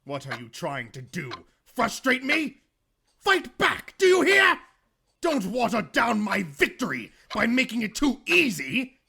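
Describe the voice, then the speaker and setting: A man's voice: extremely high pitch, wildly swooping pitch, unhurried, creaky, slightly rough, exaggerated voice. A man voicing a furious, gloating comic-book supervillain, delivering theatrical, menacing taunts in a comic dub. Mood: frustrated fury. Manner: shouting, melodramatic taunts.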